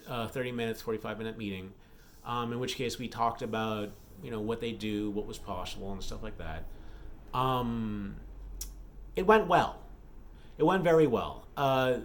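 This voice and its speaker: A man talking casually in a solo vlog update as an author, rambling and thinking aloud as he goes. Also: on the low side. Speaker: author and vlogger. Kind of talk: casual chat, business update monologue.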